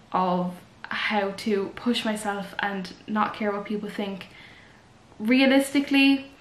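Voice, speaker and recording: A woman's voice: mid-range voice, fairly bright tone. A young woman vlogger giving earnest, rambling advice to the camera in a casual chat. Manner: chatty, earnest, rambling with fillers. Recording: echoey room.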